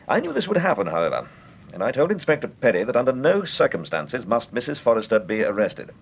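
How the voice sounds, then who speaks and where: An actor playing a composed, shrewd gentleman detective in a British radio serial, with clipped, urbane Received Pronunciation. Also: fairly low pitch, breathy edge, heavily acted.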